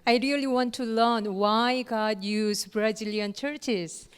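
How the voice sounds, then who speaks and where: A woman giving a lecture in short, paused phrases with fillers, leaving room for an interpreter. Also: a little high, steady tone, clear, resonant tone. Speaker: guest lecturer. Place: lecture hall. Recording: long hall reverb.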